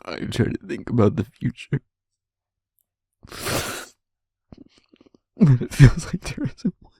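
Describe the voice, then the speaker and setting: A man playing a guilt-ridden werewolf pack leader confiding in a partner in an intimate audio drama, with a halting, heavy, emotionally raw delivery. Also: mid-range voice, very animated, vocal fry, dark tone, slightly rough, no room sound, studio quality, heavily acted. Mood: breaking down, tearful.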